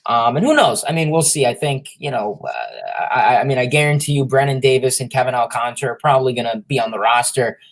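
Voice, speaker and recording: A man's voice: mid-range voice, expressive, fast talker, few pauses. A young man co-hosting a podcast, talking casually about baseball with many fillers and restarts. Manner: filler-heavy, meandering. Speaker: podcast co-host. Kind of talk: casual sports chat.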